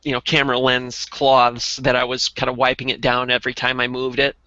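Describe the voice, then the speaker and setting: A man in a casual chat about collectible toys, reviewing them as a co-host with halting, detailed explanations. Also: mid-range voice, monotone, bright, forceful tone, no echo, highs cut off. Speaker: toy reviewer and podcast co-host.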